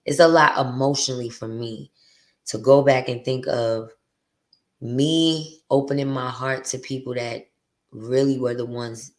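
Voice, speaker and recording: A woman with a deep voice hosting her podcast, venting bluntly and profanely in a candid, confessional monologue. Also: fairly even intonation, a little slow. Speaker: podcast host.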